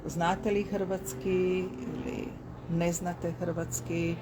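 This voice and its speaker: A patient woman teacher speaks slowly in simple sentences so a learner can keep up. Her voice sits a little low. Clear background noise runs underneath her speech. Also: flat delivery. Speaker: language school teacher. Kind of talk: interview answers in simple Croatian.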